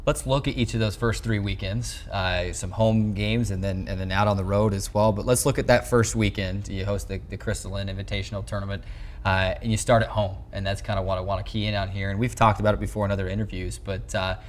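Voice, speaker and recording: An American man in his twenties with a fairly low, flat-toned voice hosts a sports interview. He talks conversationally in long, wordy lead-ins. There is some background noise on the recording. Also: fast talker. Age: 20s. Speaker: sports show host, interviewer. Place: small indoor locker-room set. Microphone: lapel mic.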